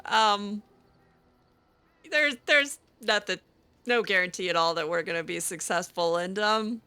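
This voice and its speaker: A woman's voice: mid-range voice, bright, forceful tone. A young woman roleplaying her character aloud in a fantasy game, talking casually and hesitantly, with many fillers. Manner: hesitant, lots of fillers, laughs easily. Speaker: let's-play roleplayer.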